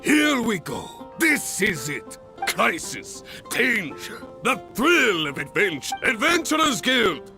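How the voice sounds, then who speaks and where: A man's voice: high-pitched, wildly swooping pitch, slow, bright, forceful tone, faint music behind, exaggerated voice. An actor playing an overeager, theatrical young male adventurer recruiting for his guild. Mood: grandiose. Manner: theatrical, boastful.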